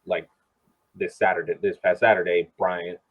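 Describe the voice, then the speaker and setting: A man co-hosting a soccer podcast, discussing the game casually in a halting way and repeating words. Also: sits low, little pitch movement, husky. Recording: muffled, lo-fi podcast audio.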